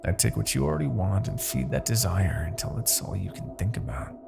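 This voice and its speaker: A man playing an arrogant, possessive demon king in a husky, theatrical ASMR fantasy roleplay. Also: sits low, very animated, loud music behind the voice, exaggerated voice. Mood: menacing, intrigued. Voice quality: husky, gravelly. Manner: theatrical, deliberate, swinging from murmurs to outbursts. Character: arrogant, possessive male demon king, obsessed with captive hero. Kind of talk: ASMR roleplay, dramatic monologue.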